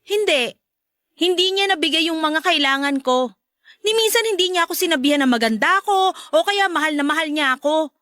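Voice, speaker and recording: Very high, bright-voiced emotional Filipino girlfriend played by an actress. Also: animated, a little fast, dry recording, studio quality. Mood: hurt, venting. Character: emotional girlfriend, a mother, venting about her ex. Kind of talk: Tagalog audio love-story drama acting.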